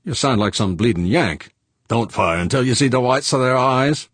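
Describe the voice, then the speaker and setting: A man voicing a gruff, blustery, excitable spaceship crewman who curses bluntly in a space adventure audiobook. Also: medium pitch, normal speed, no room sound, pitched above the performer's own voice. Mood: scornful. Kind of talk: audiobook character dialogue.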